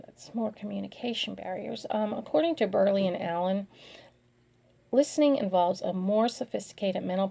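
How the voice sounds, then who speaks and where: A middle-aged woman giving a slide presentation in an earnest, halting way, speaking in short phrases. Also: mid-range voice, gravelly, mellow.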